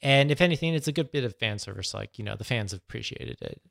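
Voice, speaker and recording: A man's voice: mid-range voice, lively intonation, fast, creaky, fairly bright tone. A man co-hosting a casual film discussion, dropping "like" often into his sentences. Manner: peppers speech with "like". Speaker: podcast co-host.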